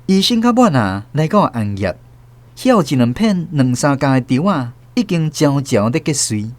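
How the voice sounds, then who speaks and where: A man narrating a radio drama as host, with expressive storytelling. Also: mid-range voice, normal speed.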